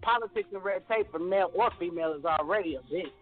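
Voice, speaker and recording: High voiced dude ranting on a web radio show, swears a lot, tinny phone sound. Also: male voice, quick pace, over music. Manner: blunt, profane, ranting. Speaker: radio co-host. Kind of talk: casual radio talk.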